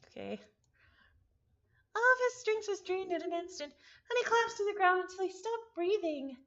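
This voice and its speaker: Woman, very high-pitched and bright, live story reader who trips on words and repeats them; lo-fi sound. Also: very animated, normal speed. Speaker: visual novel reader, streamer. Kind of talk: live read-aloud of a story with casual asides.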